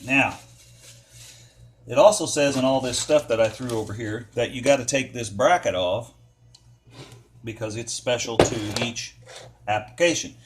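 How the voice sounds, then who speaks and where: A man's voice: mid-range voice, measured pace, pauses often, noisy background. An American man giving a casual, hands-on how-to in a folksy, easygoing, rambling way with playful wording. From United States. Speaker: DIY car repair vlogger.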